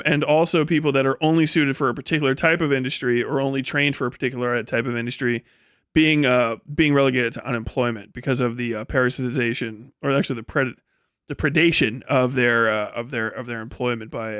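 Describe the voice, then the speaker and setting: A man's voice: mid-range voice, fairly bright tone, clean tone, dry recording, clean studio sound. A man livestreaming casual, opinionated talk, blunt and profane, rambling through explanations that mix formal vocabulary with slang. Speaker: live streamer.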